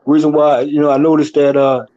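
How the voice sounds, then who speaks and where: A man with an American accent giving casual fight analysis as a show panelist, halting and repeating himself in slangy phrases. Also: a little high, little pitch movement, mellow, dull audio.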